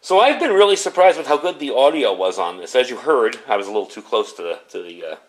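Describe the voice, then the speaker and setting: A man's voice: medium pitch, big pitch swings, fast, rough recording. A man giving a casual, unscripted product review in a chatty, conversational way.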